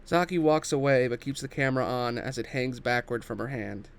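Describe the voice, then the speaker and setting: A man reads scripted stage directions as the narrator of a table read. His voice sits at a middle pitch, and he describes scenes and action in the present tense. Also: fairly quick.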